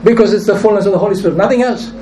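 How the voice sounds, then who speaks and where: Male pastor, high voice, strained from preaching, emphatic, faint background hiss. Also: rapid-fire, dark tone. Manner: emphatic preaching, repeats key phrases. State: nearly lost his voice. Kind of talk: sermon.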